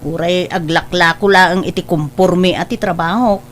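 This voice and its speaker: A woman voicing a gentle, devoted mother who speaks softly and respectfully to her father. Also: lowish voice, steady tone, normal speed, slight background noise, natural voice. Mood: earnest.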